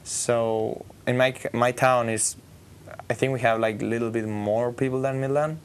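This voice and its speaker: A teenage exchange student from Argentina, speaking English hesitantly in a studio interview. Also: male voice, medium pitch, fairly even intonation, normal speed, slight background noise. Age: teens. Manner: hesitant, searching for words.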